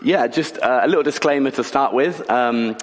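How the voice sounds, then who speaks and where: A man preaching in a church, croaky from a cold and often clearing his throat. His chatty, joking sermon carries a long reverb from the hall around him. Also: medium pitch, moderate pace. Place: church building.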